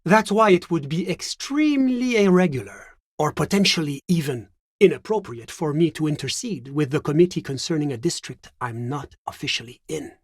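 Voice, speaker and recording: An actor playing a suave, evasive government bureaucrat in a video game, with a French accent and a formal, polite, measured delivery. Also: male voice, fairly high pitch, animated, slightly rough, no echo, studio quality. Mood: smooth, evasive. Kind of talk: scripted game character dialogue.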